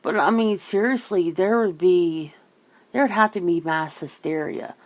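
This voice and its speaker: A woman's voice: fairly low pitch, measured pace. A woman in a casual, rambling chat, musing informally as she hosts. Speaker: ASMR channel host chatting with a guest.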